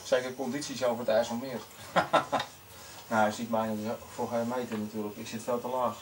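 A man's voice: mid-range voice, little pitch movement, fairly quick. A man chats casually about technical things as a radio amateur. He speaks hesitantly and repeats himself. He sounds a little away from the microphone, with faint noise. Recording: slightly noisy, distant microphone.

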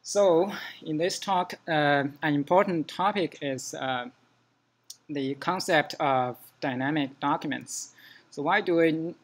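A young man from China with a Chinese accent, giving a modest, halting technical talk at a user group meeting. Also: fairly high pitch. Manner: modest, hesitant restarts. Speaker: conference speaker. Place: meeting room.

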